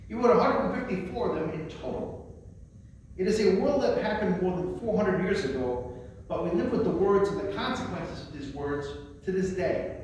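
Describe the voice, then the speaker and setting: High-pitched man reciting in an echoey room. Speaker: librarian presenting a poetry reading. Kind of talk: spoken presentation and recitation of poems. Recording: very echoey room, distant mic, faint noise.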